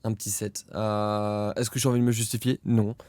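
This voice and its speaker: A young man co-hosting a podcast in casual chat, hesitant with many fillers and bursts of loud laughter. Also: on the low side, level tone, normal speed, no echo, studio quality. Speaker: podcast co-host.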